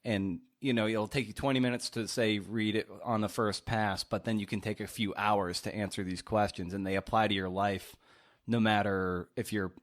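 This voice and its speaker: A man hosting a podcast, talking conversationally and encouragingly, with some hesitant pauses. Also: fairly low pitch, fairly even intonation. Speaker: podcast host. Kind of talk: conversational interview and podcast outro.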